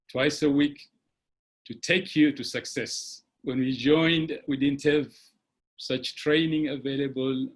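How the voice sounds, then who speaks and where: A man hosting an online webinar, giving motivational advice with frequent "uh" pauses, recorded on a computer mic. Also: a little high. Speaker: webinar host.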